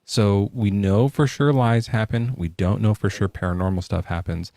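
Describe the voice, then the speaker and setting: Laid-back guy hosting a podcast from his garage, cracks jokes and swears, lowish voice, dry close sound. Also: normal speed, gravelly, darkish tone, no echo. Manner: jokey, loose, profane. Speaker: podcast host. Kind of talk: casual debate chat. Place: home garage.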